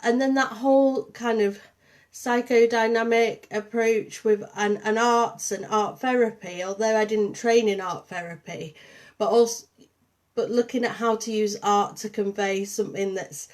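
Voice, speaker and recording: A woman with a Liverpool accent touched by Yorkshire, hosting a casual livestream and chatting warmly to viewers with lots of ums. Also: on the high side, steady tone, measured pace. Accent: Liverpool and St Helens with a touch of Yorkshire. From Liverpool, England. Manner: chatty, warm greetings to viewers, many ums. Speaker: artist and programme coordinator hosting a livestream.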